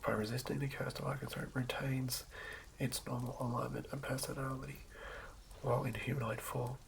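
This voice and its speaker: Breathy male whisper, very gravelly fry, soothing ASMR reading, lo-fi recording with clear noise. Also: mid-range voice, level tone, a little fast. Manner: soothing, hushed, stumbles over words while reading. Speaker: ASMR host. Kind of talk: whispered ASMR reading of fantasy game lore.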